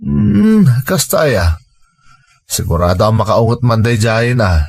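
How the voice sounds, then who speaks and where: A man's voice: on the low side, expressive, unhurried, creaky, no echo, studio quality, soft background music, heavily acted. A man playing a hungover, hard-drinking father who works in construction, grumbling and exclaiming with an Ilocano accent in an audio drama. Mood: puzzled, uneasy.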